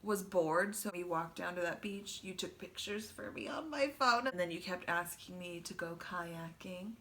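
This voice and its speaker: A woman's voice: on the low side, normal speed, sharp tone. A woman in her thirties from the United States, chatting and teasing as she tells how she met her husband. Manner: chatty, teasing, giggles often. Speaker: wife telling how they met. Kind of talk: casual storytelling chat.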